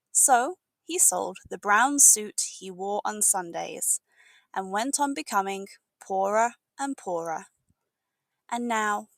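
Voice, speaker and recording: A British woman reading a storybook aloud as an English teacher, with expressive, deliberate narration for learners. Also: on the high side, dry recording.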